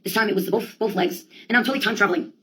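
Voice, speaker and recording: A woman's voice: medium pitch, very fast, bright, forceful tone, rough recording. A woman telling a rambling comic monologue in a theatrical, slangy, sweary way. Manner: sweary, theatrical, slangy delivery with quoted outbursts.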